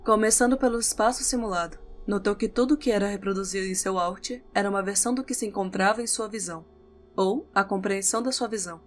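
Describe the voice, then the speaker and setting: Female narrator, scripted audio novel, mid-range pitch, guitar music bed behind. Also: quick pace. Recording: background music under the voice. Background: instrumental music with guitar.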